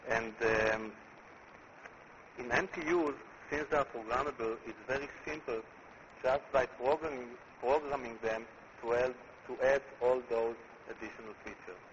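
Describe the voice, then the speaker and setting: A man with an Israeli accent, a company chief executive giving a conference presentation in a deliberate way, repeating words as he builds his points. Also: medium pitch. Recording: muffled recording with clear background noise.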